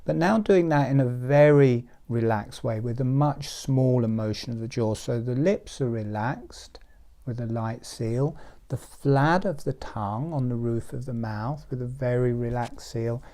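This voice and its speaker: A man leading a guided relaxation exercise, talking slowly and softly and sometimes dropping to a whisper. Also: medium pitch. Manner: slow, soft and soothing, drops to a whisper at times. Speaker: relaxation teacher.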